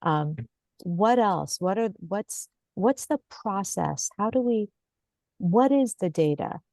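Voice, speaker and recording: A woman's voice: mid-range voice, expressive, takes it slowly, darkish tone, no room sound. A woman hosting a webinar discussion, thinking aloud and putting questions to her guests over a video call. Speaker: host.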